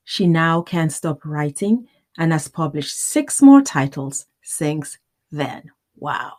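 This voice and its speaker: A woman from the United States hosting a podcast, reading a guest introduction and interviewing in a deliberate, clearly spoken way. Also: on the low side. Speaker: podcast host.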